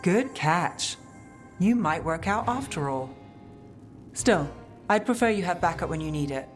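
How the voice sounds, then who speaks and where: An actress playing a cool, world-weary, guarded detective in a video game, with dry, understated delivery. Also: female voice, fairly low pitch, animated, a little slow, faint music behind. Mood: dry, composed.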